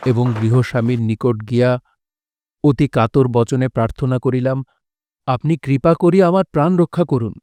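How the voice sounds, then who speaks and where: An actor playing a courteous, grateful nobleman who recounts his past in formal, measured words in an audio drama. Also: medium pitch, a little mellow, close to an everyday voice. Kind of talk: audio drama first-person storytelling, formal literary diction. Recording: music behind the voice.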